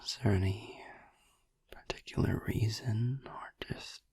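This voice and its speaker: A man playing a gentle, devoted vampire boyfriend in an intimate ASMR roleplay, whispering breathily and soothingly with long pauses. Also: lowish voice, husky, dry recording, exaggerated voice. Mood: tender, soothing.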